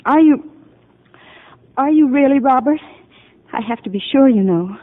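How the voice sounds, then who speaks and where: An actress playing a confused, fragile married psychiatric patient, hesitant and bewildered, in 1950 radio drama style. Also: female voice, sits high, expressive, a little slow. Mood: childlike, anxious. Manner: hesitant, bewildered, childlike questioning. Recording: muffled narrow-band 1950 radio broadcast.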